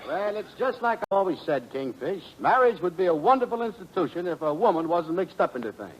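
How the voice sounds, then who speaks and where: Pompous dimwitted pal, high animated voice, florid wording, old radio comedy. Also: male voice, fairly quick, lo-fi sound. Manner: pompous, elaborate malapropisms. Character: pompous, dim male crony mangling big words. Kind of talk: 1940s radio comedy acting. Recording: faintly noisy vintage radio recording.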